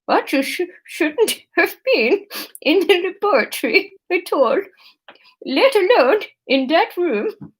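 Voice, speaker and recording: A woman voicing a stern, suspicious female interrogator in a story, with an attempted Dutch accent and halting, deliberate delivery. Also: very high-pitched, very animated, pitched above the performer's own voice, full character voice, low-quality recording. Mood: stern accusing.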